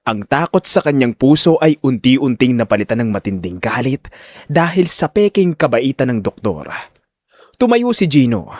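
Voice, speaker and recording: A man's voice: mid-range voice, sing-song, normal speed, a little mellow, no echo, studio quality. A man giving dramatic scripted narration of a story.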